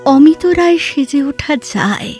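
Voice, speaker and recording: A woman playing an articulate, wistful, reflective woman in a literary audio drama, with measured, theatrical phrasing. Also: high voice, expressive, darkish tone, faint music behind.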